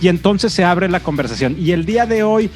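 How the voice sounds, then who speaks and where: A man's voice: high-pitched, animated, a little fast, soft background music. A man hosting an interview podcast, building his points step by step in a rhetorical way. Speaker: podcast host.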